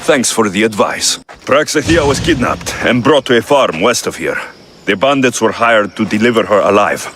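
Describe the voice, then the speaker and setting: An actor playing a confident, compassionate Greek mercenary in a video game cutscene, speaking in a measured, direct way. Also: male voice, fairly low pitch, animated, a bit bright, faint music behind. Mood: firm, probing.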